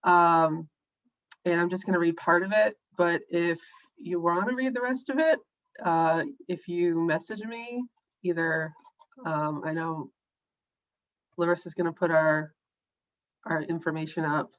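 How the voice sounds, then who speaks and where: A woman reads her own dark fiction aloud. She sounds a bit scratchy and nasal and has a lowish voice. The audio comes through a dull, muffled video-call line. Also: fairly even intonation, measured pace, pauses often. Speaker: author reading her fiction. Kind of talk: reading a story aloud. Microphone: home computer mic on a video call.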